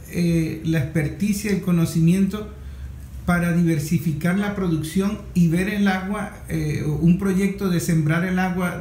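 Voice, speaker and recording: A man's voice: high-pitched, a little mellow. A Venezuelan man hosting a conversational interview. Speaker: interview host. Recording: echoey room, faint noise.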